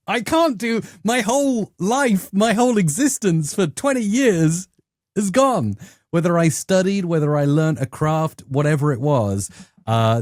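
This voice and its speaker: A middle-aged man with a southern English accent, telling stories conversationally as an interview guest, often acting out quotes in an animated manner. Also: mid-range voice, no room sound, clean studio sound. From England. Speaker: YouTube educator and interview guest.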